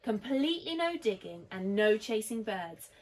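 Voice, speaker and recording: Storybook boy, read by a woman: careful dog owner, firm, emphatic, instructing, varied pitch. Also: big pitch swings, moderate pace, darkish tone.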